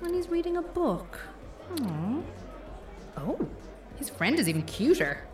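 A woman acting in an audio comedy drama as the mischievous, mouthy inner voice of a young woman weary of dating, sardonic, exasperated and quick to swear. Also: sits high, sing-song, echoey room, far from the mic, soft background music. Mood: sardonic, searching.

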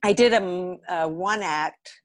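A woman's voice: on the low side, slow, no room sound. A woman with an American accent, a podcast guest and theatre director chatting casually about her work. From Los Angeles area, United States. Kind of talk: casual interview, telling career stories.

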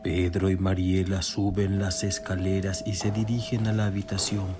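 A man narrating a scripted horror radio play in a measured, suspenseful way. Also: low voice, flat delivery. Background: prominent music.

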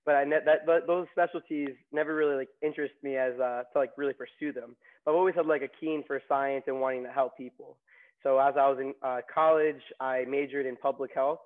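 A man's voice: medium pitch, fairly even intonation, fairly quick. Young man from New York on a tinny line. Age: young adult. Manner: chatty, many fillers and restarts. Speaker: nursing graduate guest. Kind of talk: casual interview storytelling.

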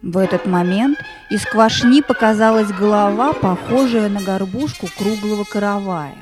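A woman narrator reading a scripted fairy tale in an expressive, deliberate storytelling manner. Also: medium pitch, soft background music, lightly acted.

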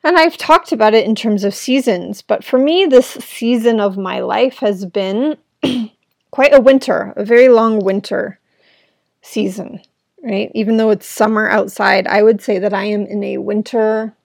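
A woman hosting her own podcast, talking in an earnest, rambling way with a gravelly, smoke-irritated throat. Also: mid-range voice, measured pace, darkish tone, no room sound, studio quality. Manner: earnest, rambling, with frequent "right?". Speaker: podcast host. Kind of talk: reflective solo podcast talk. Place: at home indoors.

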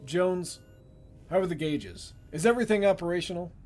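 A brash young starship pilot who speaks bluntly, played by a woman. The voice is low and very animated in pitch. Faint music runs behind the audio drama dialogue. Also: moderate pace, close to an everyday voice. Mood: relieved.

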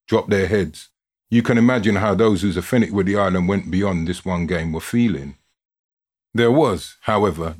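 Husky, low-voiced man reading a story. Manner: expressive, dramatic delivery with built-up lists. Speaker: reader, narrator of a written football story. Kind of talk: scripted narration, storytelling reading.

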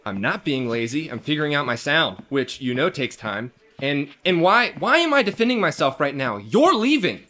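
A man's voice: fairly high pitch, sing-song, moderate pace, hardly any pauses, pushed and bright. A man acting a frazzled, defensive young restaurant worker in a scripted read, rambling and stammering with sarcasm. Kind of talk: acted script table read.

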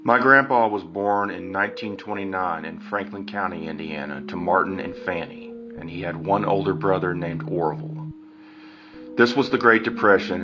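A man calmly telling the story of his grandfather's life in plain narration. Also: low-pitched. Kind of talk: personal family storytelling. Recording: slightly dull audio with music behind.

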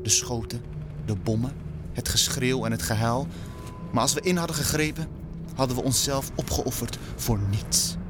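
A man's voice: mid-range voice, noisy background, lightly acted. An actor playing a stern, wounded middle-aged father in an audio drama, grave and emphatic. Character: stern, wounded immigrant father urging loyalty and manhood.

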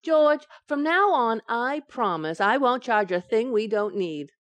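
A woman acts out a scatterbrained young wife in a radio sitcom. She coaxes with wide-eyed innocence and breezy comic timing. Her pitch swings in a lively way, and the sound is dry. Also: a little slow, dry recording. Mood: innocently evasive. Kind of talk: old-time radio sitcom acting.

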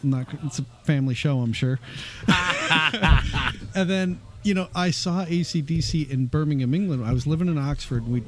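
A middle-aged man, a band member, chatting casually on a radio show and telling animated, rambling stories. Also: on the high side, moderate pace, background noise.

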